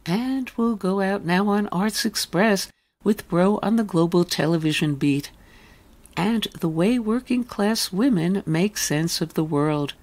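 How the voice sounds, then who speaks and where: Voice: medium pitch, unhurried, darkish tone. A radio host reading a scripted introduction to an arts segment.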